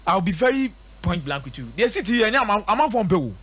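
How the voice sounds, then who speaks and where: A man's voice: high-pitched, big pitch swings, quick pace, a bit bright. A man with a Ghanaian accent giving emphatic football analysis on live radio, repeating his points rhetorically for effect. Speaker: radio sports pundit. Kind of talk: live football commentary and analysis, mixing local language and English.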